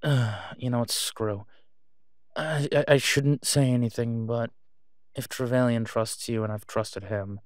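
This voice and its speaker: A man playing an awkward, guarded man in a naturalistic audio roleplay, speaking hesitantly and low-key. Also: steady tone, a little slow, no echo, studio quality, natural voice. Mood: guarded, reluctant. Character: awkward, guarded man discreetly seeking gear to protect someone.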